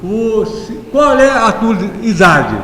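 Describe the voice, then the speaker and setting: Male Brazilian interviewer, unhurried delivery, effusive and playful, echoing hall, noisy background. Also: high-pitched, big pitch swings, a bit bright. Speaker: talk show host. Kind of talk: informal, conversational TV interview. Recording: reverberant hall, clear background noise.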